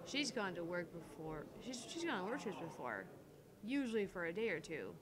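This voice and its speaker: A woman's voice: lowish voice, big pitch swings, normal speed, a crowd in the background. Woman streamer over background voices. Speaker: co-host of a gaming livestream. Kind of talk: casual livestream chat, reading game text aloud.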